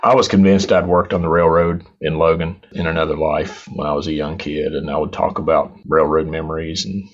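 Guest songwriter, 60s, low and rambling, chuckles between thoughts. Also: male voice, level tone, brisk, few pauses. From southern West Virginia. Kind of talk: radio interview, storytelling. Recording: dull, muffled lo-fi sound.

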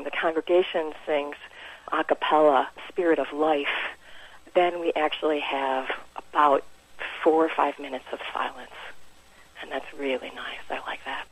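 A woman's voice: sits low, no echo, poor audio. An American woman, a musician, speaking casually and informally as an interview guest. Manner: chuckles often, informal.

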